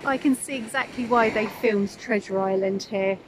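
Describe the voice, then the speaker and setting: A woman's voice: a little high, moderate pace, noisy background. A woman, chatting casually in a travel vlog, filming herself on a phone at arm's length. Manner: chatty, enthusiastic, many ums. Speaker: vlogger. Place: outdoors by the sea, later a home kitchen. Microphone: phone held at arm's length.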